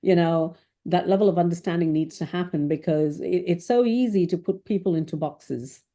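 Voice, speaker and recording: Middle-aged woman from Malaysia, a low, mellow voice, thoughtful interview guest. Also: moderate pace. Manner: thoughtful, tags points with "right?". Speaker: CIO guest. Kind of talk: reflective interview talk.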